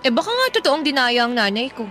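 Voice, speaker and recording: High-pitched, defensive young woman. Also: big pitch swings, fast, fairly bright tone, faint music behind. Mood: defensive, indignant. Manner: indignant, sarcastic, colloquial. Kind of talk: Tagalog radio drama acting.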